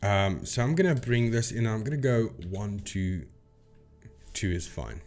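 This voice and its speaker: A man's voice: fairly low pitch, animated, measured pace, a little mellow. A man hosting a casual explainer video, talking informally to viewers and thinking aloud as he demonstrates. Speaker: video host, paranormal debunker.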